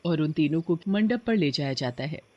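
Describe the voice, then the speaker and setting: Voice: medium pitch, expressive, moderate pace, dull audio. A narrator reading a scripted horror story in a dramatic storytelling style.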